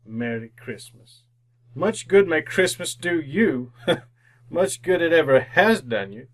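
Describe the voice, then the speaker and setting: A man's voice: on the high side, lively intonation, slow. An actor playing a grim, miserly, bitter old businessman in radio drama style, scornful, snapping and grumbling. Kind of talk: re-created old-time radio drama acting.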